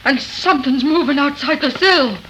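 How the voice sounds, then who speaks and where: Very high-voiced anxious wife. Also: female voice, normal speed, pushed and bright. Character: frightened frontier wife Sue, anxious and pleading. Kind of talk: 1930s radio horror acting. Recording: noisy old 1930s radio recording.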